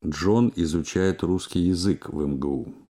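A man reading scripted phrases and questions for a language course, slowly and with careful, clear enunciation. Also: sits low, dark tone.

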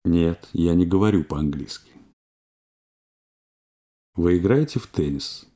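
A man reading scripted phrases and questions for a language course, slowly and with careful, clear enunciation. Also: sits low, expressive, warm tone.

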